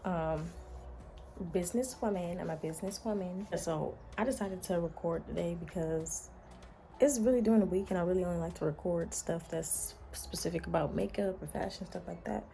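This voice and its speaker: An American woman vlogger chatting casually in a playful, sassy, slangy way, her voice slightly hoarse. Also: fairly low pitch, normal speed, dark tone, a little hiss or hum. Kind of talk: casual chatty day-in-the-life vlog.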